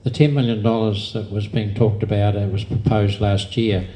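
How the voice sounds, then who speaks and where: A man chairs a public meeting and explains things patiently. His low voice is very dark and flat, with little rise and fall. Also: normal speed, rough recording.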